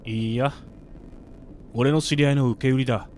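An actor playing a cold, vengeful older brother who speaks in terse commands in a radio drama. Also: male voice, mid-range voice, fairly even intonation, moderate pace, a little mellow, slight background noise, natural voice. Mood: cold, commanding.

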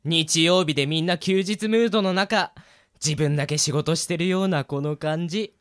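A man's voice: on the high side, normal speed, a bit bright, lightly acted. A man playing a harried, grumbling young cake seller, the straight man of a radio drama. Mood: weary grumbling. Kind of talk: amateur Japanese radio drama acting.

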